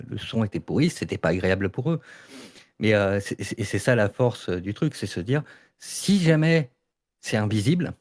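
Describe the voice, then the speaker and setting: A man talking informally in a debate, explaining his views in an emphatic, colloquial way. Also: lowish voice. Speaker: audio fiction maker in a panel debate. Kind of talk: informal debate, casual discussion.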